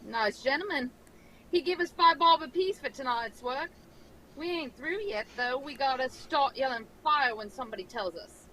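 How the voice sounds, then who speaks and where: A woman's voice: high voice, moderate pace, pushed and bright, rough recording. A woman playing a brash, scrappy working-class London street woman in a radio drama, brassy and slangy. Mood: chummy, conspiratorial. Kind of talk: old-time radio drama script read live.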